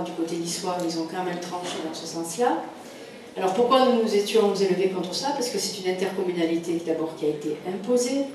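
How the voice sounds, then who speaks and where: A woman's voice: medium pitch, a little mellow. A French woman, a local elected official, giving an emphatic, insistent campaign speech with repetition and rhetorical questions. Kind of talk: political campaign speech to a meeting. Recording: very echoey room, distant mic, faint noise.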